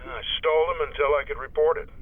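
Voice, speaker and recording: An actor playing an earnest, formal city councilman in a radio drama, measured, heard through a telephone filter. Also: on the high side, fairly even intonation. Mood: concerned. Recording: tinny narrow-band phone line.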